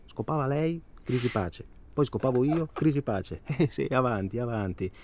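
An actor playing a nervous, chatty middle-aged husband in a naturalistic radio drama, hesitant and rambling, repeating himself and seeking agreement. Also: male voice, mid-range voice, normal speed, darkish tone, a little hiss or hum, natural voice. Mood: confiding, wry.

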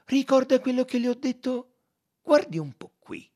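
A man voicing a shrewd, courteous detective from a novel, self-assured and theatrical, with emphatic, deliberate delivery. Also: high voice, very animated, a little mellow, voice pushed up. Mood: triumphant.

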